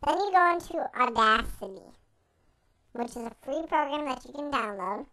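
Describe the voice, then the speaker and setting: A young man explaining a software tutorial step by step, his voice run through a pitch shift effect that raises it into a chipmunk sound. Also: expressive, moderate pace, no echo, exaggerated voice, low-quality recording. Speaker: video tutorial host. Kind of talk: screen-recorded software tutorial.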